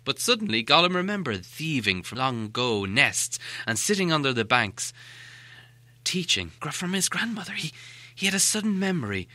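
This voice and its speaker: A man narrating a storybook as an audiobook reader, with an expressive, dramatic delivery. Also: a little high, moderate pace, no room sound. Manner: expressive, animated.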